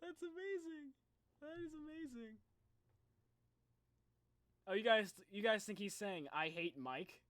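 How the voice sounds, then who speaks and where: Animated young man with a bright, high-pitched voice. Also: measured pace, long pauses, no room sound. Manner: excitable, slangy, thinks aloud. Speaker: streamer reacting to game videos. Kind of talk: live reaction stream, casual chat.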